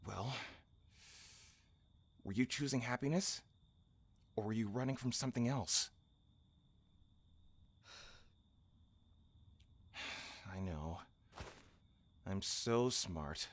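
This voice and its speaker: A man playing an encouraging, teasing friend in an audio drama, gently probing and wry. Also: medium pitch, takes it slowly, pauses often.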